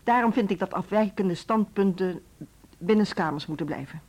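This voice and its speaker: A woman's voice: mid-range voice, moderate pace, darkish tone, breathy edge, muffled recording, natural voice. An actress playing a strict female school director in a radio drama, who speaks in a cool, curt, businesslike way.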